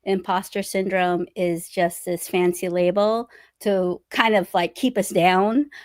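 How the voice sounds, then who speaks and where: A woman's voice: on the low side, steady tone, a little slow, a little mellow, no echo, clean studio sound. Friendly woman host on a video call. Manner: thoughtful, friendly, laughs easily. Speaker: interview host. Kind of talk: casual video-call interview.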